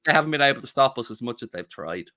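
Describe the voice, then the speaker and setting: Man, young adult, podcast host, casual chat, blunt and defiant, swears a lot, mid pitch. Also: lively intonation, quick pace, sharp tone.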